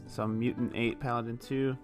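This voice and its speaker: A man's voice: mid-range voice, level tone, a little fast, music in the background. A man playing in a tabletop podcast, chatting casually in a jokey, rambling way. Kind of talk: casual chat.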